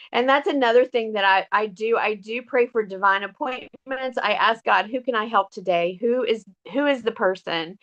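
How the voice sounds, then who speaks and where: A woman's voice: medium pitch, a bit bright, muffled recording. A middle-aged woman with a scratchy voice after an illness, sharing her story on a team call in an earnest, grateful way with many fillers. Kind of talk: casual testimonial on a team call.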